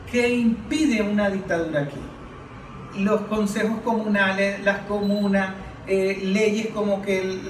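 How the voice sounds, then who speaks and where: A Venezuelan man moderating a political panel discussion, speaking in an emphatic, argumentative way with rhetorical questions. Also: high voice. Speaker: forum podcast moderator and political analyst. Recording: roomy, distant mic, faint noise.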